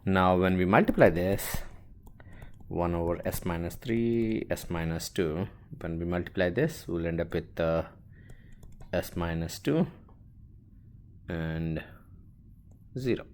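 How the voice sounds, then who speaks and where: Guy doing a tutorial lecture, deep voice, slow and halting, working it out out loud. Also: slight background noise. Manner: halting, thinking aloud while writing. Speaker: engineering instructor. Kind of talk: step-by-step worked-example lecture.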